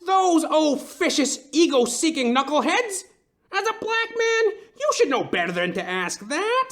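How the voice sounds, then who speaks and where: An actor playing a melodramatic, lovesick older timber tycoon with a pompous, theatrical way of talking in a comedic detective audio play. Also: male voice, extremely high pitch, very animated, measured pace, a bit bright, exaggerated voice. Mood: indignant. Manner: florid, theatrical, pompous storytelling.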